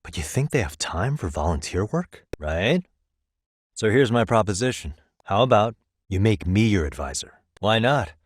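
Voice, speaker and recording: A man's voice: on the low side, sing-song, moderate pace, husky, no echo. A male actor with an American accent playing a wry, easygoing private detective in a video game, talking in a dry, casual, persuasive way. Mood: calm, negotiating. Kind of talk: scripted game dialogue, conversational.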